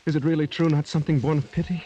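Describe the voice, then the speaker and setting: An actor playing a gallant, doomed young French gentleman in old radio drama style, formal and theatrical, halting with feeling, on a muffled vintage recording. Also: a little high, level tone, close to an everyday voice. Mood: ardent. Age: young adult. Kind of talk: 1940s-50s radio drama acting.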